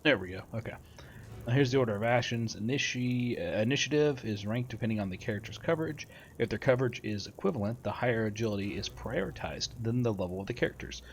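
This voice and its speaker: A man's voice: mid-range voice, fast. A man hosting a gameplay video, casually commenting and reading tutorial text aloud. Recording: faint background noise, game ambience.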